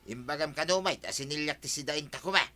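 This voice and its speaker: An actor with an Ilocano accent playing a gruff, selfish village husband in an audio drama. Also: male voice, on the high side, animated, quick pace, bright, forceful tone. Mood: gruff, dismissive.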